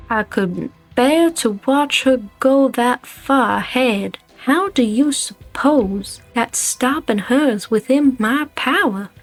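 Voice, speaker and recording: A woman's voice: a little high, full character voice, rough recording. A woman voicing a composed, wry company director, coolly evasive and defiant, in a game fan dub. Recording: music behind the voice.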